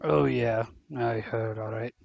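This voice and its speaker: A man performs a big, gruff, soft-hearted gamekeeper in an audio play. His voice is heavily gravelled and husky, with a halting, flustered delivery. The sound is slightly dull. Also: mid-range voice, fairly even intonation, no room sound. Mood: nervous, distracted. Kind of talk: fan audio drama acting.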